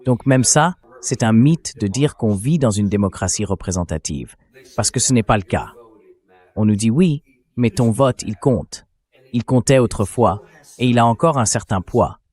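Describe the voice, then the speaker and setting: A man's voice: on the low side, lively intonation, moderate pace, a little mellow. A man's AI dubbing voice delivering blunt, indignant, sarcastic political commentary. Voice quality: synthetic AI dubbing voice.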